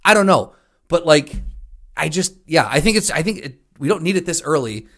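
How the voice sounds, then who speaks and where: A man's voice: a little high, animated, normal speed, a bit bright. Exasperated, foul-mouthed man on a podcast. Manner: profane, exasperated venting. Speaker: podcast co-host. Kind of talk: casual chat about movies.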